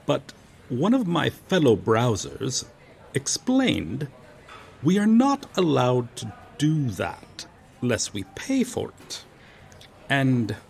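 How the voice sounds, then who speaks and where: A man playing a courteous, befuddled medieval knight in an audio roleplay, speaking earnestly to the listener in formal, theatrical, archaic phrasing with hesitant pauses. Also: mid-range voice, gravelly, slight background noise, exaggerated voice. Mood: curious, puzzled. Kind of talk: ASMR roleplay audio drama, addressing the listener.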